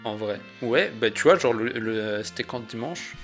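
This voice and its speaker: A man's voice: medium pitch, animated, normal speed, a bit bright, faint music behind. A man in his late twenties chatting casually as a guest giving his opinions. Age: late 20s. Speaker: guest co-commentator. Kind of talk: casual chat.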